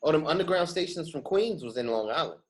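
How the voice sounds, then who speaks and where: Fast-talking male podcast host. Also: on the high side. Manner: animated street slang, repeats phrases for emphasis. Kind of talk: casual podcast chat.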